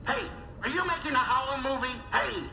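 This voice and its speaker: An actor playing a chatty, playful middle-aged alien pilot and cook in a video game cutscene, with a teasing manner. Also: male voice, very high-pitched, brisk, creaky, over music, heavily acted. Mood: playful, excited. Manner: animated, teasing, jokey.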